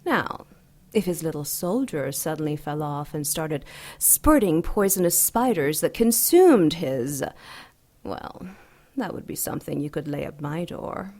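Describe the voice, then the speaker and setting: A woman playing an ancient, seductive and coolly menacing demon goddess in an audio drama, silky, deliberate and mocking. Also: low voice, lively intonation, warm tone, heavily acted. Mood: sly, menacing.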